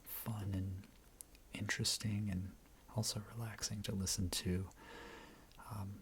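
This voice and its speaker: A man chatting quietly in a measured, soft-spoken ASMR style that often drops into a whisper. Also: fairly low pitch, little pitch movement, a little hiss or hum. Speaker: ASMR co-host, host's husband.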